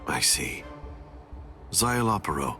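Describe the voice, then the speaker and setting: Commanding villain lord, very low register, composed formal delivery, quiet condescension, anime game, music behind. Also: male voice, big pitch swings, full character voice. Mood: calm authority. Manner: composed, formal, unhurried authority. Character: calm, commanding, smoothly condescending overlord man. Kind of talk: anime video game voice acting. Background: background music.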